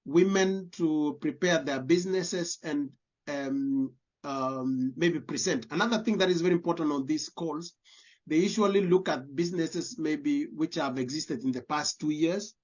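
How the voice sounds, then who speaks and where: A man's voice: fairly high pitch, measured pace. Kenyan man, Kenyan accent, interview guest, earnest, restarts phrases, muffled lo-fi recording. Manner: earnest, repeats words while searching for phrasing. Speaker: guest, diaspora community leader. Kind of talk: interview answers. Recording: dull lo-fi audio.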